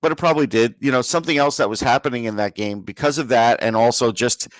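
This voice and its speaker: A man's voice: medium pitch, fast, no room sound. A man with a middle-range voice talks casually as a sports podcast co-host. He puts his opinions bluntly and plainly. The sound is dry, with hardly any room in it. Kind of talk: casual hockey discussion.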